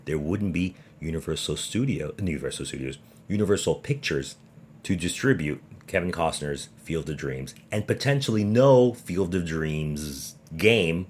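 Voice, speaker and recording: A man with a deep voice hosts a sports podcast on his own. He speaks emphatically and with sarcasm, pushing his opinions through pointed rhetorical questions. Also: expressive, moderate pace, a little hiss or hum. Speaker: podcast host.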